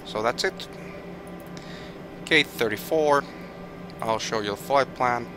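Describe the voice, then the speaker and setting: A man's voice: medium pitch, slow, over music. A man with a Finnish accent streaming a flight simulator, thinking aloud and reading out weather and route figures with hesitant restarts. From Finland. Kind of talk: live gameplay commentary, thinking aloud.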